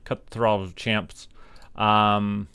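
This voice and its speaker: Casting: male Canadian game streamer, lowish voice, very slow casual delivery, playful mock-official manner. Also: level tone, bright, forceful tone, smooth, no echo. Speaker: livestream gamer host. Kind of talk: casual live gameplay commentary.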